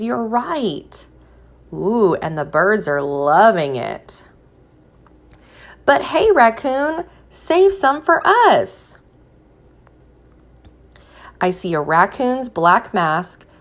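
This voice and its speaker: A woman reads a children's picture book aloud in the manner of a teacher. Her pace is slow and deliberate, at a medium pitch. She prompts young listeners with questions and encouragement.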